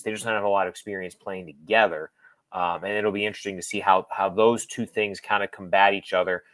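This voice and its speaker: A low-pitched man speaks as a guest sports analyst in a close, dry recording. His delivery is conversational and halting, doubling back on phrases as he thinks aloud. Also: no room sound.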